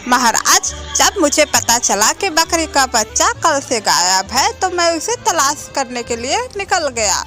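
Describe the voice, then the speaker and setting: A woman's voice: high-pitched, very animated, measured pace, few pauses, sharp tone, soft background music, exaggerated voice. A woman voicing a sly, defiant male wolf in a children's cartoon, insolent and self-justifying. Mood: slyly pleading.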